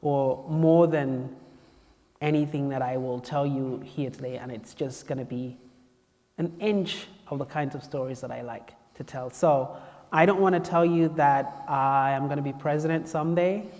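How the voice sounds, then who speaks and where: A man's voice: mid-range voice, steady tone, normal speed, darkish tone, big-room sound. A man from Cameroon, a guest speaker telling his story to a campus audience, chatty and playful. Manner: chatty and playful, often laughing. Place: campus lecture hall.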